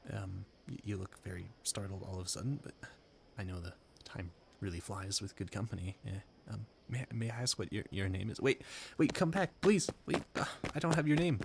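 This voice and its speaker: A man playing an earnest, dreamy young gentleman inventor in a romantic audio roleplay, formal and wistful in manner. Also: lowish voice, a little fast, creaky, a little hiss or hum. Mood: startled, urgent. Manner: wistful, formal, flustered stammering when startled.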